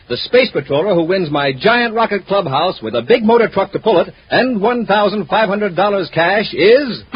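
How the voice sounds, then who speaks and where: An American man playing a steady, authoritative space patrol commander in a 1950s radio adventure, with a crisp commanding manner. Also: sits high, no room sound, full character voice. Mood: proud, announcing. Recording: noisy, muffled vintage radio recording.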